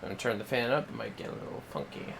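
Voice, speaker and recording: A man's voice: lowish voice, racing, vocal fry, fairly bright tone, a little hiss or hum. A man giving casual, playful livestream commentary on a video game, muttering asides to himself.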